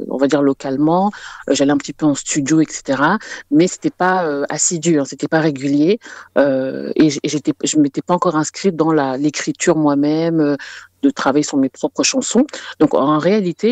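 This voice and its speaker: A woman's voice: deep voice, darkish tone, dry recording. Young French woman, dry close sound. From France, Paris region. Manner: chatty storytelling. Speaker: interview guest, singer. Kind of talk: conversational interview answers.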